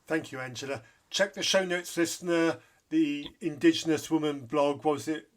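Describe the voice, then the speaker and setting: A man's voice: on the high side, fairly even intonation, normal speed. Candid male podcast host. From Zambia. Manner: candid, emphatic, repeats for stress. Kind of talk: interview podcast.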